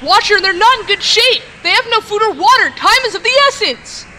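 A woman's voice: very high-pitched, fast talker, pushed and bright, a little hiss or hum, exaggerated voice. A woman voicing an urgent, bossy young female pony adventurer, cross and insistent, in audiobook dialogue.

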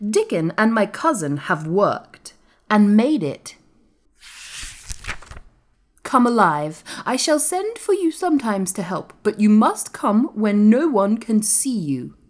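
A woman's voice: mid-range voice, sing-song, measured pace, a little mellow, husky, voice pushed up. A woman voicing a proud, frail boy in a storybook, giving orders in a grand, commanding way. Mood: imperious.

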